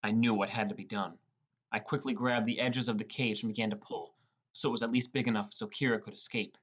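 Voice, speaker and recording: A man's voice: on the low side, fairly even intonation, fairly quick, fairly bright tone. A man reading a scripted first-person story as an audiobook narrator.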